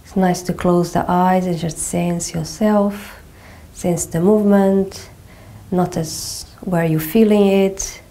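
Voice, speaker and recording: A middle-aged Portuguese woman with a Portuguese accent, gently guiding a floor movement exercise with slow, calming cues and frequent check-ins. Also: on the low side. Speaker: movement teacher. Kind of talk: guided somatic exercise instruction. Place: small carpeted room indoors.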